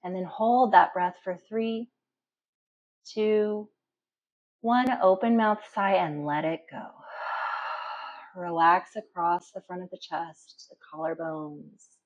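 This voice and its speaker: Low-voiced woman guiding calm relaxation, muffled recording. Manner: calm instructional, with slow pauses and audible breath releases. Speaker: yoga and relaxation instructor. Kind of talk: guided relaxation meditation. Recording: dull, muffled lo-fi sound.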